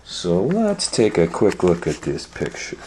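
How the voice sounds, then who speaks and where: A man reads a children's story in a roomy, echoing space. His voice sits a bit low and moves at a slow pace. He keeps breaking off into folksy asides and questions for his listeners. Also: huge pitch swings, mellow, a little hiss or hum. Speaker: storytime reader and educator. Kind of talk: children's picture-book read-aloud with chatty asides. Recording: roomy, echoing room sound.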